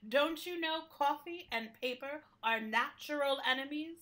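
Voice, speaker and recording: Prim library building for radio play: high-pitched, emphatic, scolding yet caring. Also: female voice, unhurried, pushed and bright. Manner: emphatic, mock-stern scolding.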